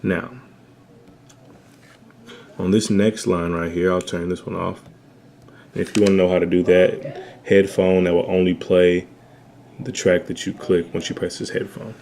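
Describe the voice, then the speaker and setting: A young man with a bassy voice, giving a laid-back, slangy home-studio tutorial. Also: level tone, a little slow, long pauses, a little mellow, slight background noise. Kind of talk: casual tutorial talk.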